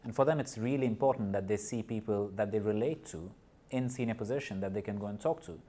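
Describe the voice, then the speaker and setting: A man who grew up in Nepal and India speaks as a guest in a podcast interview. His voice sits slightly low. He talks earnestly and often stops to restart a phrase or repeat a word as he gathers his thoughts. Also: quick pace, dark tone. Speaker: guest, corporate partner and mental health advocate.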